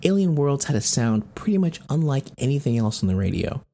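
A man's voice: medium pitch, very animated, brisk, mellow. An American man hosting a podcast, reading scripted narration. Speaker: podcast host.

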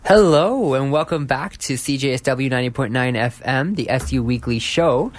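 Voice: mid-range voice, moderate pace. A campus radio host introducing guests in a loose, self-deprecating way with many hesitations.

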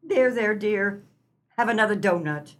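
A woman's voice: mid-range voice, lively intonation, measured pace, natural voice. An actress playing a kindly, fretful old farmer's wife in a comic radio melodrama, earnest and motherly in a theatrical way. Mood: sympathetic.

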